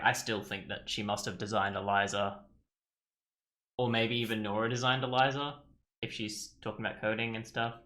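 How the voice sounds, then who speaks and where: Let's play host: man, mid-pitched and bright, casual, tentative running commentary. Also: creaky. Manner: tentative, musing aloud. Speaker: gaming video host.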